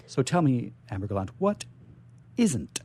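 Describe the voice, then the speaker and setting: A man's voice: mid-range voice, sing-song, unhurried, mellow, slight background noise. A man hosting a radio interview, informal and conversational, prompting his guest and often repeating his phrases. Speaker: radio show host, interviewer.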